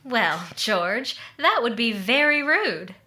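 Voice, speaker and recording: A woman performs a confident, chummy sitcom friend with a bright, high voice. Her delivery is emphatic and measured, in old-time radio comedy style with a roomy echo. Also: sing-song, heavily acted. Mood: playful, obliging. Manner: confident, emphatic, cheerfully insistent. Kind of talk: re-enacted 1940s radio comedy and advert acting. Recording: roomy, echoing voice recording.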